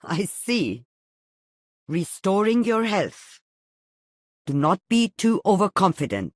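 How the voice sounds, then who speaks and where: A woman playing a stern, wise old alchemist healer in a game, measured and commanding. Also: medium pitch, sing-song, no echo, studio quality. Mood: firm, watchful.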